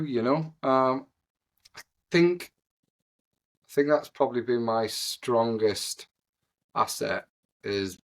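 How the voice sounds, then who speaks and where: A man in his forties with a Geordie accent, a podcast guest who rambles with lots of pauses and "you know". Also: lowish voice. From North East England. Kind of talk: casual podcast conversation.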